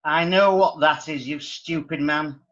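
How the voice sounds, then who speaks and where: A stern, sarcastic judge who mocks the people before him, played by a man. His voice sits high and sounds dull and lo-fi, as if from a modest recording. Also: unhurried, fairly bright tone. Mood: scornful. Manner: scornful, dry, mocking. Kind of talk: amateur radio drama.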